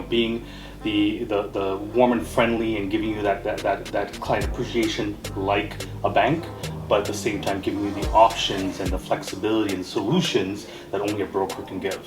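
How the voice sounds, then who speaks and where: A man from Trinidad who grew up in Canada, giving earnest, rambling interview answers peppered with "you know" and "right?". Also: lowish voice. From born in Trinidad, raised in Canada from age eight. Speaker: interview guest, mortgage brokerage owner. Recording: roomy echo, music playing behind. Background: music.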